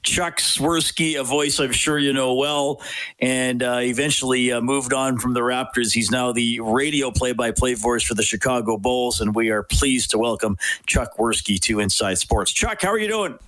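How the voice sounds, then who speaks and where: A man's voice: mid-range voice, normal speed, a bit bright, low-quality recording. A man hosting a sports radio show in a conversational interviewing style.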